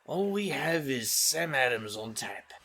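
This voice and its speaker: A man's voice: medium pitch, sing-song, unhurried, sharp tone, full character voice. A man playing a roguish, easygoing bartender who banters wryly in a comic audio drama. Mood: wry teasing. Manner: wry, bantering.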